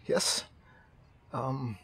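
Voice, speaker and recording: A man playing an eccentric, earnest amateur therapist in a roleplay game, halting and awkward, repeating himself for emphasis. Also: pushed and bright, slight background noise, rough recording. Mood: awkward, uneasy. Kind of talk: improvised roleplay in a zombie survival game.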